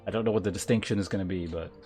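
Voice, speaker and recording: A man's voice: low-pitched, fairly even intonation, rapid-fire, mellow. A man livestreaming a game, chatting informally as he thinks aloud and reads game text. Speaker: game streamer. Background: game music.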